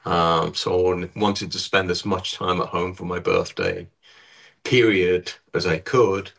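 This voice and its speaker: A man with a husky voice from an allergy, chatting casually in an interview about touring with his band. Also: low-pitched, measured pace. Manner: relaxed, rambling with restarts. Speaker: touring band musician, guest.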